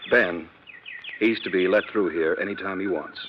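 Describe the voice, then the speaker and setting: An actor playing an earnest, suspicious civic official in a radio drama, firm and insistent. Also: male voice, low-pitched, moderate pace, lightly acted. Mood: businesslike, earnest. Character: earnest, suspicious male civic official pressing his case. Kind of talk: 1950s radio drama acting. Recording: dull, hissy old radio recording.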